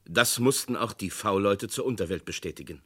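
A man narrating a radio crime drama in a measured, documentary way. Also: lowish voice, pushed and bright, husky.

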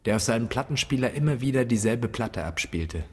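An actor playing a brooding son alone in his dead father's flat, speaking a poetic radio monologue in terse, intense fragments. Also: mid-range voice, a little fast, husky, in a large hall. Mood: haunted remembering.

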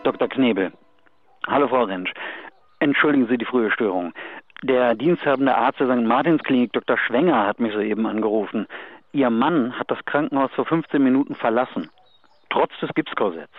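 Actor as formal doctor on tinny phone line. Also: male voice, mid-range voice, no echo, lightly acted. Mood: polite, concerned. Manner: polite, measured, concerned. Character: formal, concerned male doctor leaving a message for a patient's wife. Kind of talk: German radio drama acting. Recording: dry, tinny telephone line.

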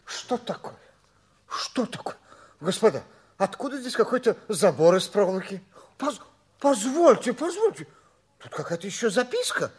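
An actor playing an excitable, indignant, fussy man in a 1960s Soviet radio drama, exclaiming and repeating himself in agitation. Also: high-pitched, moderate pace, pauses often, slightly rough, full character voice. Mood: flustered, bewildered.

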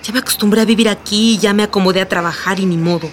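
A woman's voice: mid-range voice, steady tone, fast, a little hiss or hum, natural voice. A woman with a Mexican accent acting in a radio play as a streetwise, protective schoolteacher, plain-spoken and matter-of-fact. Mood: matter-of-fact, advising. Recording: music bed behind the voice.

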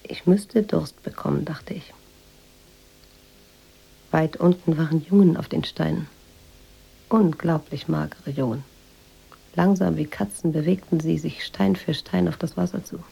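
Thoughtful woman writer telling her memories, radio play, hushed n measured, really gravelly mellow voice. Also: low-pitched, heavily acted, low-quality recording. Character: reflective American woman writer in 1950s Tangier, recalling. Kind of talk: intimate literary radio drama narration.

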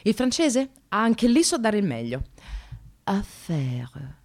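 A woman's voice: mid-range voice, big pitch swings, takes it slowly, darkish tone, full character voice. An actress playing an ironic, anxious woman in precarious work, confiding her life in a wry, theatrical radio monologue. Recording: radio play with music behind.

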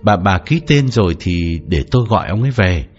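A man's voice: low voice, animated, a little fast, pitched below the performer's own voice. A man voicing a middle-aged property agent who explains himself carefully and politely, hedging to soothe an angry client, in an audiobook. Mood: placating. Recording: slightly dull, faint background music.

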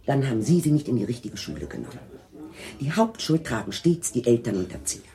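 Radio drama: indignant old-fashioned moralizer, emphatic and scolding, very animated delivery. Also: female voice, a bass voice, quick pace, heavily acted. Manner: sharp-tongued, censorious, emphatic. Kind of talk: German radio drama acting.

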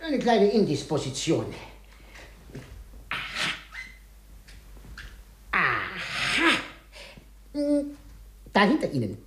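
A man playing a shaken, suspicious office director in a 1950s German radio play, halting and stiffly formal. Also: sits high, huge pitch swings, bright, forceful tone, reverberant, slight background noise, exaggerated voice.

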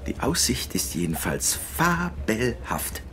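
An actor in a radio drama playing a wistful, guilt-ridden middle-aged father, confiding his thoughts in a gravelly voice. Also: on the low side, big pitch swings, takes it slowly, a bit bright, full character voice. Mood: appreciative. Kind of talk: confessional radio drama monologue.

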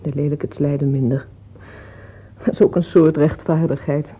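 A woman's voice: low-pitched, steady tone, moderate pace, breathy edge. An actress in a Dutch radio play, playing an earthy, plain, motherly middle-aged mistress who speaks frankly, tenderly and teasingly, without hurry. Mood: wry, wistful. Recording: muffled tinny old radio play recording.

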